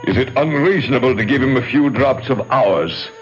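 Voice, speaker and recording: An actor playing a commanding ancient priest of a sun god in a 1970s radio drama, speaking in formal, oratorical phrases. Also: male voice, mid-range voice, expressive, moderate pace, natural voice. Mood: stern, menacing. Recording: muffled 1970s radio broadcast, music behind.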